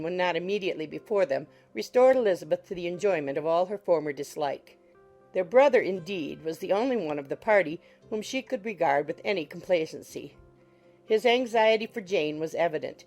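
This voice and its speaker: A woman's voice: lowish voice, darkish tone. A woman reading scripted literary narration as an audiobook narrator. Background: music.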